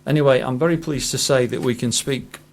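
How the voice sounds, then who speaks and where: A man's voice: medium pitch, a little fast, gravelly. Man hosting a radio interview, hesitant delivery. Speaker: radio host.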